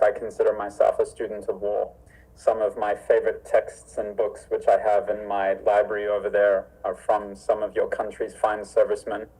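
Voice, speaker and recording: A man's voice: on the low side, dark tone, slightly rough, poor audio. A man from Zimbabwe, a martial arts instructor, speaking earnestly and formally on a remote video call from his home. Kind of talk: remote interview conversation. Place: home with a library.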